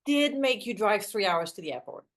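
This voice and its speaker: A woman's voice: medium pitch, big pitch swings, normal speed, close to an everyday voice. A woman acting in a naturalistic drama as a wry, self-deprecating best friend who sighs, hesitates and teases. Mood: teasing.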